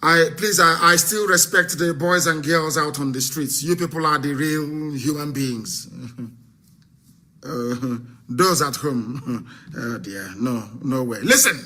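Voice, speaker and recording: Nigerian man, Igbo first language, very bright voice a touch high, fired-up commentator hammering rhetorical questions. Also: slow pace. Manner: exclaiming, repeating rhetorical questions, switching into Igbo. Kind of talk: impassioned political commentary.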